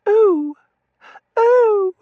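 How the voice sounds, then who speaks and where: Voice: mid-range voice, big pitch swings, unhurried, no echo, muffled recording, pitched above the performer's own voice. A narrator voicing a spoiled, affected young lady who coos in fluttery, prissy baby talk in a storybook reading. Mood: squealing, indignant.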